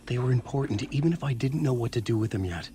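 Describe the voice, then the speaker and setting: A man's voice: medium pitch, lively intonation, quick pace, mellow, natural voice. An actor playing a troubled man piecing together a mystery, speaking in a terse, serious way in a video game. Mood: serious, reflective. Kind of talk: game cutscene and narration.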